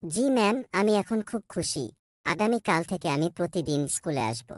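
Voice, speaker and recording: A woman's voice: fairly low pitch, lively intonation, a little fast, dry recording, clean studio sound. A woman voicing a polite, earnest young schoolboy in a cartoon. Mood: happy. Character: polite, eager young schoolboy from poor family. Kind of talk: cartoon dialogue.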